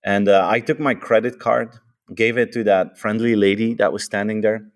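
A man's voice: on the low side, fairly even intonation, moderate pace. A man with a Dutch accent, a native Dutch speaker, chatting casually and eagerly, often repeating words as he starts a thought and adding "huh?" tags. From Europe. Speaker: author and podcast guest. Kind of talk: casual conversation.

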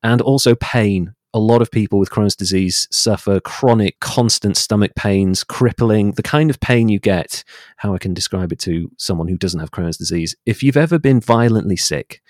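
A middle-aged man giving a personal account as an interview guest, explaining things in a measured way. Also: fairly low pitch, darkish tone, no room sound, studio quality.

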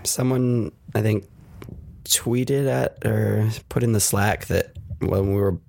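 A man's voice: lowish voice, slow, gravelly, darkish tone, no room sound. A man co-hosting a casual tech podcast, rambling and ranty, swearing now and then. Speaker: podcast co-host. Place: New York. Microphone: close mic with pop filter.

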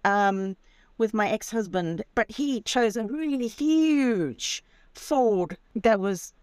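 A woman's voice: medium pitch, lively intonation, slow, darkish tone, clean tone, no room sound. A woman in her sixties from Zimbabwe, telling her story as a podcast guest in a chatty, self-deprecating way over a remote call from her campervan. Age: 60s. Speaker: guest, author and van traveller. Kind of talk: casual storytelling interview.

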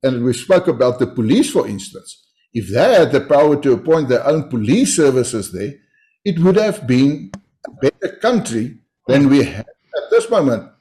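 A man with a South African accent, Afrikaans his first language, answering interview questions in a measured way with frequent hesitations. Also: a little high, dark tone. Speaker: politician guest.

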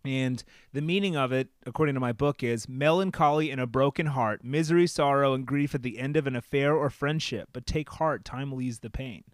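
A man's voice: medium pitch, brisk, few pauses, clear, resonant tone, no echo, studio quality. A man co-hosting a podcast, chatting casually in a playful, dramatic way, laughing often. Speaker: podcast co-host. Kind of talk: casual chat.

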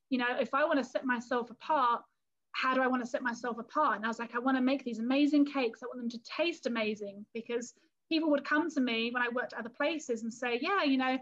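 A woman's voice: high-pitched, fairly bright tone, dull audio. A woman in her thirties from the London area of England, with an English accent, chatting as a guest in an interview and telling stories with little quoted scenes and frequent laughs. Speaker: cake artist and business owner, guest. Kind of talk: casual interview talk.

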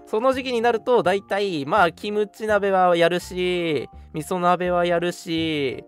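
A young man co-hosting a radio show, chatting casually in an animated way and readily agreeing with his partner. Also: high-pitched, slow, smooth, soft background music. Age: young adult. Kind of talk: casual chat.

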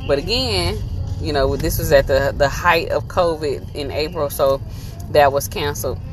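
A woman's voice: sits low, normal speed, music in the background, rough recording. A woman in her thirties chatting casually for a travel vlog from inside a moving car, laughing often. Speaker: vlogger.

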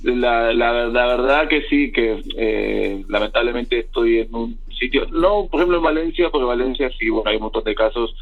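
This is a young Argentine man with a slightly husky voice, telling his story as a guest on a remote interview. He wanders through his thoughts with many fillers and restarts. The sound is thin and tinny, like a phone line. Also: mid-range voice, normal speed, hardly any pauses. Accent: Argentine Spanish.

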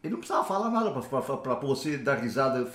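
In a roomy, echoing space, an older Brazilian man in his seventies tells anecdotes. His voice sits at a middling pitch, and his talk is casual, with phrases repeated for emphasis. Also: big pitch swings, very fast, a bit bright. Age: 70s. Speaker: panelist on a talk show. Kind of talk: casual reminiscing. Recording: roomy echo.

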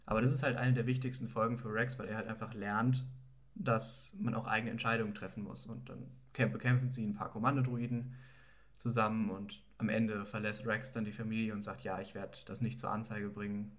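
A man's voice: on the low side, flat delivery, fairly quick. A man co-hosting a podcast, chatting casually about a TV episode and explaining background with filler words. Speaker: podcast co-host.

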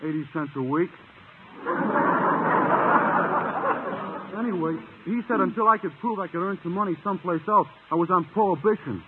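A man's voice: high-pitched, fast, narrow, tinny audio, close to an everyday voice. A man playing a dim, earnest teenage athlete with folksy, ungrammatical speech in a 1950 radio sitcom, on a very noisy old recording.

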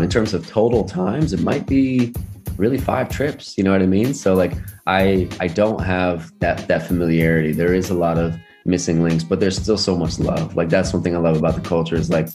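A man's voice: low voice, darkish tone. A young American man telling personal stories in a casual, reflective way, often dropping in filler phrases like "you know". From United States. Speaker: podcast guest. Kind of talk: casual conversational storytelling.

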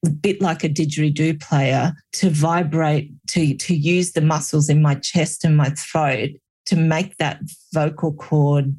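A middle-aged woman speaks as a guest in an interview, recounting her own story with earnest reflection. She talks in an even, conversational way about her work as a healer. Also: deep voice, a little slow, few pauses, slightly rough.

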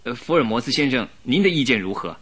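A man's voice: mid-range voice, lively intonation, fast talker, a bit bright, breathy edge. A man acting an earnest police inspector in a radio drama, explaining politely with some hesitation.

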